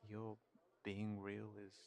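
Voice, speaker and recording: Hesitant, low-voiced man, slow and thoughtful, acted. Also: completely flat delivery, creaky, a little mellow. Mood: worried, hesitant. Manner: hesitant, halting, thoughtful, trailing off. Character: cautious, worried man in a fantasy world, protective of companion. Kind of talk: intimate audio roleplay acting to one listener.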